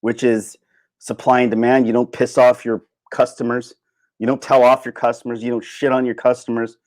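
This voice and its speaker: A man's voice: medium pitch, flat delivery, normal speed, a little mellow, no echo, studio quality. A man hosting a casual, opinionated livestream monologue, speaking informally and emphatically, repeating phrases to drive his points home. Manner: informal, with occasional swearing. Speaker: livestream host.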